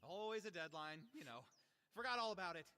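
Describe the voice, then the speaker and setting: Animated game role: bright, high-voiced young reporter, flustered and excuse-making, swooping intonation. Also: male voice, a little fast, long reverb tail. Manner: theatrical, stammering, hesitant excuses. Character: flustered, excuse-making young male columnist, sheepish and eager. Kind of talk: animated video game voice acting.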